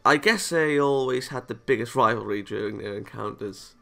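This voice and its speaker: A man's voice: mid-range voice, normal speed, creaky, bright, forceful tone, faint music behind. A man reading a visual novel aloud as a let's player, stumbling over lines and reacting playfully with casual asides. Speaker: video game let's player.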